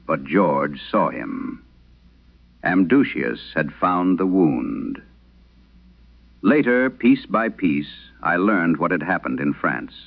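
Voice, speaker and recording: Guy doing an old priest exorcist in a horror radio play, grave and haunted, gravelly, swings up and down a lot. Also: low voice, phone-quality sound, heavily acted. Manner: grave, measured, solemn. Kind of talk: dramatic first-person horror radio storytelling.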